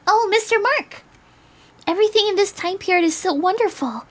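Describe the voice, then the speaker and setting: A woman's voice: very high voice, lively intonation, normal speed, a bit bright, voice pushed up. A woman voicing an eager, starry-eyed teenage girl in a visual novel, earnest and gushing. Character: eager, starry-eyed seventeen-year-old girl time traveler. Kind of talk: visual novel read aloud.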